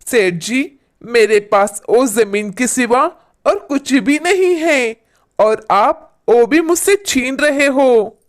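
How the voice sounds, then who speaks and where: A humble, poor farmer as a woman puts him on in a moral story. He speaks slowly, with a sorrowful, pleading manner. His lines come out as helpless laments. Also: a little high, animated, pitched above the performer's own voice. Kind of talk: storybook character acting.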